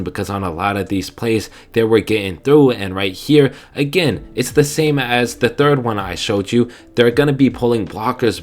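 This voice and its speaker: A young man with a stuffy nose giving casual, enthusiastic film breakdown commentary, often asking "right?". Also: lowish voice. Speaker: fan video host.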